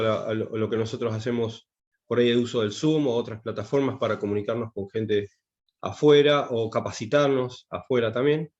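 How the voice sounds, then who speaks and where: A man's voice: medium pitch, darkish tone, lo-fi sound. A man, a taekwondo instructor giving an online class, hesitant and full of fillers and restarts. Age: 50s. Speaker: taekwondo instructor teaching English.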